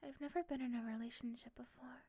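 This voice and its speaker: A woman playing a tired, sweet, teasing young woman in love in a soft ASMR romantic roleplay, at times whispering breathily. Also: on the high side, fairly even intonation, fast talker. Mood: tender, drowsy.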